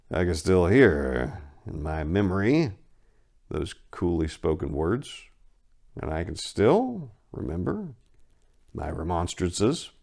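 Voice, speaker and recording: Male narrator, very low voice, theatrical horror story reading, deliberate emphasis, hushed dips. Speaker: narrator, amateur story reader.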